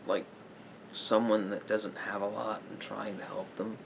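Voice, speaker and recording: A man playing a calm, sympathetic therapist in an ASMR roleplay, speaking in a halting, pensive way with pauses. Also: gravelly. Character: calm, sympathetic male therapist talking to a client. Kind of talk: slow therapy roleplay ASMR, improvised and conversational. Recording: noisy recording with low rumble.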